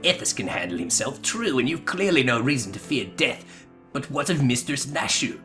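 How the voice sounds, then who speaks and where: A man's voice: mid-range voice, very animated, normal speed, bright, forceful tone, faint music behind. A man playing a pompous, zealous and suspicious inquisitor from a video game, in haughty archaic language. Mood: disapproving. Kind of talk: melodramatic video game dialogue reading.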